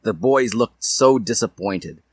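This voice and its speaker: A man's voice: lowish voice, a little slow, a little mellow, breathy edge, dry recording. A man reading fan fiction aloud as a theatrical, mock-earnest storyteller.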